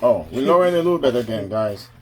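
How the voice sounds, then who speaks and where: A man's voice: mid-range voice, big pitch swings, fast talker. A man casually talking viewers through a home cooking vlog, chatty and addressing them directly. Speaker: cooking vlogger.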